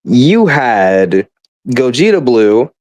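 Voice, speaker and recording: A man's voice: medium pitch, sing-song, drawn-out words, a little mellow, dry recording. Profane young man on a rambling rant. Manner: profane, exasperated, hyperbolic; stammering repeated starts. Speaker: gamer.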